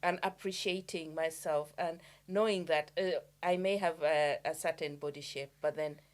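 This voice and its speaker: A woman from Uganda co-hosting a podcast, speaking reflectively and haltingly with many pauses and fillers. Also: sits low, steady tone. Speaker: podcast co-host. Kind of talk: casual chat.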